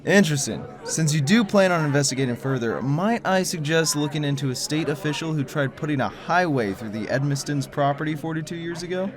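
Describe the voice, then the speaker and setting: A man's voice: on the high side, expressive, a crowd in the background, natural voice. A man playing a hesitant conspiracy theorist. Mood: earnest. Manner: hesitant, halting delivery. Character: wary male conspiracy theorist, earnest and secretive. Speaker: lead character. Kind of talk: audio drama acting.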